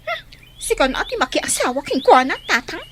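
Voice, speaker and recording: A woman's voice: very high voice, very animated, moderate pace, noisy background. A woman with an Ilocano accent playing a young student in a radio drama, pleading and protesting, respectful but firm. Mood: upset, pleading.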